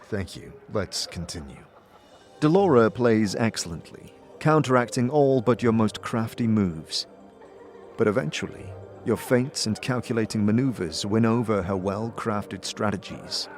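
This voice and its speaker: A man's voice: lowish voice, a little slow, soft background music. A man narrating an audio drama and speaking as its lead, with hesitant "uh"s in his lines. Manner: hesitant, with filler "uh"s in dialogue.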